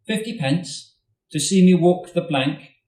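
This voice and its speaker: Brief: unbothered stubborn boy with broken English, slow and deliberate, raised voice, as a male reader puts him on, roomy and distant. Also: high voice, expressive, a little mellow, voice pushed up. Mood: insistent. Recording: roomy hall, mic at a distance.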